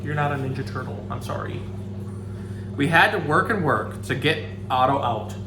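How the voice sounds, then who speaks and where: A man's voice: mid-range voice, moderate pace, creaky. A man reading a children's story aloud expressively, breaking into casual asides to a pet. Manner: expressive, acts out the dialogue. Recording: echoey room, background hum.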